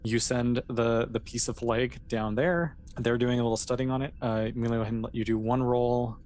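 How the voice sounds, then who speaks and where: Game master for tabletop sci-fi, medium pitch, conversational and improvising, some noise behind the voice. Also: steady tone, a little fast, darkish tone. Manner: conversational, improvising, hesitant phrasing. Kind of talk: narrating a tabletop role-playing game.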